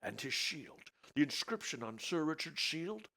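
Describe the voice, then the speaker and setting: Man doing an elderly absent-minded scholar dad, fussy, raised pitch, animated. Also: a little slow, full character voice. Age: older.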